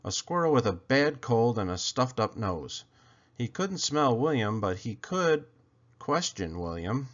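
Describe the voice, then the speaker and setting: A man's voice: medium pitch, sing-song, a little slow, highs cut off. A man reading a children's story aloud expressively, leaning dramatically on key words. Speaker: storybook reader.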